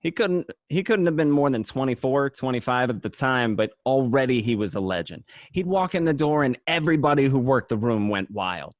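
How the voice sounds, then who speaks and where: A nostalgic gangster looking back on mob life, played by a man in first-person radio drama narration. The voice is mid-pitched, recorded dry and slightly dull at home. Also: expressive, a little fast, few pauses, no room sound, lightly acted. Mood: admiring, reminiscing. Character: nostalgic male gangster narrating his youth among mobsters.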